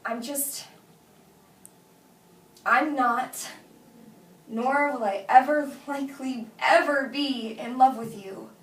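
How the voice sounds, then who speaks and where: A woman's voice: sits high, fairly even intonation, slow, long pauses. An actress playing a blunt, exasperated woman turning down a friend, speaking emphatically in a naturalistic drama read-through. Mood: apologetic, firm. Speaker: actress at a script table read. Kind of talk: naturalistic film drama dialogue read aloud. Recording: roomy echo, distant mic, faint noise.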